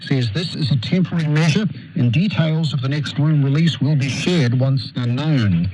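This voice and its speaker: A man's voice: on the high side, moderate pace. A man with a New Zealand accent reading a scripted radio news bulletin. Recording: noisy, dull shortwave radio reception.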